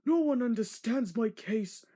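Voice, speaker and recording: Woman as male storybook engine, self-pitying, sickly, plaintive moan, whiny, raised pitch. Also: animated, a little slow, mellow.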